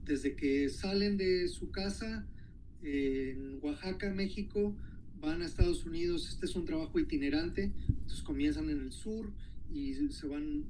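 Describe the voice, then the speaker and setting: A man's voice: a little high, a little slow, darkish tone, slight background noise, low-quality recording. A Mexican man, a filmmaker, answering interview questions in a hesitant way, with many fillers and restarts. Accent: Mexican Spanish.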